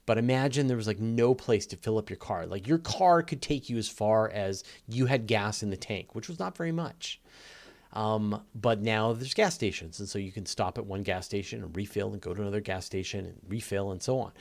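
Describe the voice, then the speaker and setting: A man's voice: on the low side, brisk, gravelly. A man hosting a live question-and-answer show, explaining conversationally and emphatically in an off-the-cuff way, with repetition and everyday comparisons. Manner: conversational, emphatic, builds points through repetition and everyday analogies. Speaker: host of a live astronomy Q&A.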